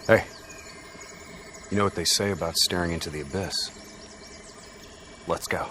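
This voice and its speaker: A hard-bitten, irritable commander in a military video game. His voice is low and gruff, the lines curt, with clear background noise around them. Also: normal speed, sharp tone. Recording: noisy game ambience behind the voice.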